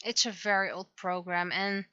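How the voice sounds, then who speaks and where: A woman's voice: medium pitch, level tone, moderate pace, bright, forceful tone, clean tone, dull audio. A woman chatting casually on a livestream while she works on her art, rambling and thinking aloud. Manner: rambling, thinking aloud, acts out remembered exchanges. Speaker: livestreamer, webcomic artist.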